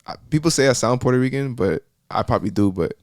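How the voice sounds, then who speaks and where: A man's voice: mid-range voice, animated, fast, dry recording, studio quality. A young man from Miami, a podcast guest talking about his music in a loose, rambling way with lots of fillers. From Miami, Florida. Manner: rambling, many fillers and restarts. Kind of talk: casual interview chat.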